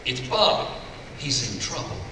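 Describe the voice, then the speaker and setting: A man's voice: mid-range voice, sing-song, moderate pace, lightly acted. Guy doing worried cajun man pleading for help, cartoon table read, big noisy hall. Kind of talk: live animated-series table read. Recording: echoey noisy hall, far from mic.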